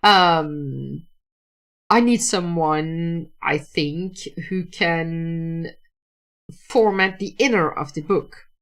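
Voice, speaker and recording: A woman's voice: sits low, very slow, a bit bright. A Dutch woman speaking English as a second language, talking casually about writing on a livestream with many restarts and fillers. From Netherlands. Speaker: writer hosting a livestream.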